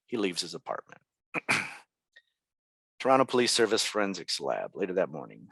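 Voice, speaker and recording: A man's voice: on the low side, a bit bright, no echo. A man reading scene directions as scripted narration at a table read. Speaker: stage directions reader.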